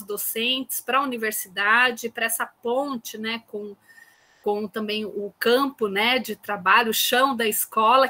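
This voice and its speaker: A woman's voice: on the high side, bright, forceful tone. A woman lecturer introducing a guest teacher on a video call, filling her sentences with constant "né" tags. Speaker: university lecturer hosting a guest. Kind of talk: online class conversation.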